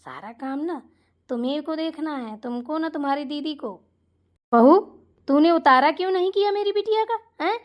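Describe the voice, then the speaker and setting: Woman playing cartoon mother-in-law, high voice, bossy, doting, indignant, scolding. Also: normal speed, natural voice. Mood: soothing. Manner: scolding, indignant, rhetorical questions. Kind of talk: Hindi cartoon family comedy acting.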